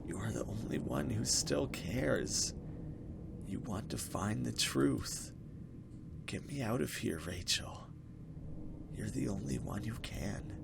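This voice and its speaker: A man voicing a trapped ghostly younger sister in a visual novel, weak, pleading and whispery, with slow halting delivery. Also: fairly low pitch, expressive, background noise, exaggerated voice. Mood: urgent pleading. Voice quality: whispery, breathy.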